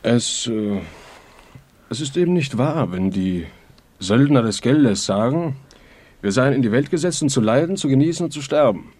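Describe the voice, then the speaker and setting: A man's voice: mid-range voice, lively intonation, normal speed, darkish tone, lightly acted. An actor playing a pompous, lecherous fascist druggist in a radio play, declaiming in slogans as he courts a young woman. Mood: fanatical.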